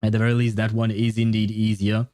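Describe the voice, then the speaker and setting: A man's voice: on the low side, level tone, fast, darkish tone, no room sound, studio quality. A man streamer chatting casually with viewers in a rambling, hesitant way. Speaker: livestreamer. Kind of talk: casual livestream chat, replying to viewers.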